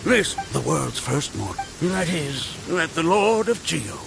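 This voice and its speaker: Pompous game archaeologist, argumentative, lively intonation, gravelly edge, music behind. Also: male voice, a little high, unhurried, exaggerated voice. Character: indignant, pedantic male archaeologist, devout about his god. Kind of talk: English video game voice acting.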